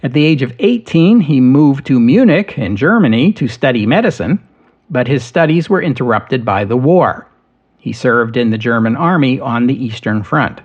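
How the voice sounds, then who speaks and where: A man narrating history as a podcast host, in a measured, steady storytelling delivery. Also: medium pitch, dull audio.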